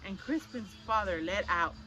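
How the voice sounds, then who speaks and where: A woman reading a picture book aloud in an animated way, asking young listeners questions as she goes. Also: mid-range voice, normal speed. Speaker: children's story-time reader. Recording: dull lo-fi audio with music behind.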